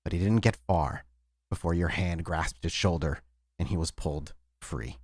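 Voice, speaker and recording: A man's voice: low-pitched, moderate pace, a little mellow, no echo, clean studio sound. A man narrating a tabletop horror game as storyteller, in dramatic style.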